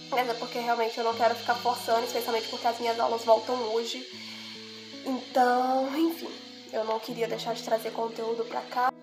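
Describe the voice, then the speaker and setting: A young woman with a cold and a nasal voice, giving an earnest, heartfelt anime analysis in casual talk, often asking "right?" and "you know?". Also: on the high side. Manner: earnest, heartfelt, repeats "né?" and "sabe?". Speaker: video creator analyzing anime.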